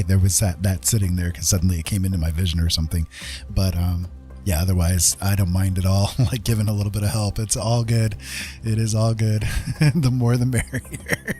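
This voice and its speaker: Low-voiced man streaming, faint music. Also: dark tone. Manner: rambling, chuckly, thinking aloud. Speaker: game livestream host. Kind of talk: casual livestream chat while playing. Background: game music.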